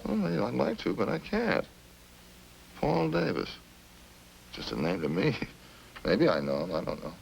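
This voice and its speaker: A man's voice: fairly low pitch, big pitch swings, a little slow, vocal fry, pauses often, full character voice, low-quality recording. An actor playing an evasive male ex-convict suspect in a 1950s radio crime drama, full of indignant, slangy denials. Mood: defensive. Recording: hissy old-time radio recording.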